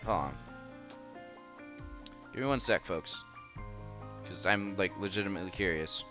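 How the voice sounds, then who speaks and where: Male game streamer, lowish voice, narrating a visual novel with offhand conversational asides, music playing behind. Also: measured pace. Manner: breaks off to react conversationally. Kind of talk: dramatic reading with casual asides. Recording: music under the voice. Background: background game music.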